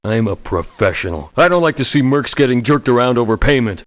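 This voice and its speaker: A man's voice: medium pitch, expressive, a little fast, dry recording, lightly acted. A man voicing a wary, no-nonsense professional mercenary in a video game, firm and matter-of-fact. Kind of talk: video game character voice lines.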